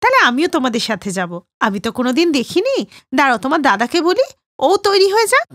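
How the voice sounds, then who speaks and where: A woman's voice: high-pitched, very animated, fairly quick, a bit bright, dry recording, clean studio sound. A woman voicing a motherly but clingy and possessive elder sister-in-law in a cartoon story, chatty and insistent. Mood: eager, insistent.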